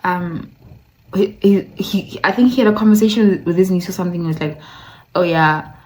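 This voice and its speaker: Lower-pitched woman chatting as video host. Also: fairly even intonation, a little fast, darkish tone, poor audio. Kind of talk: casual video essay with passages read aloud.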